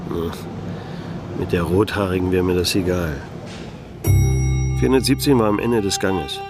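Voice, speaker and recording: An actor playing a laconic, disillusioned private detective who narrates and speaks in a German radio play. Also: male voice, sits low, normal speed, over music. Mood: dry, wry. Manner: laconic, dry, world-weary. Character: wry, disillusioned male private detective narrating his case.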